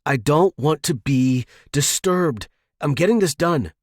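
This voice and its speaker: A man's voice: a little high, animated, measured pace, no room sound, clean studio sound, voice pushed up. A man voicing a panicked, defensive, foul-mouthed male office worker in audio fiction, tense and snappish. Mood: taut, defensive. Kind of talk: audio fiction character dialogue.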